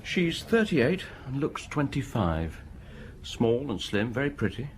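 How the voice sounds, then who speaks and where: An actor playing a diligent local police inspector who reports his findings in a brisk, matter-of-fact way in a radio drama. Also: medium pitch, big pitch swings, a little hiss or hum.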